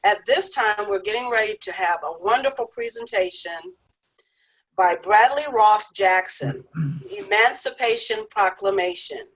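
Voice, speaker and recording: A woman's voice: medium pitch, lively intonation, a little slow, fairly bright tone, husky, poor audio. A woman acting as moderator, hosting a virtual event and addressing the participants.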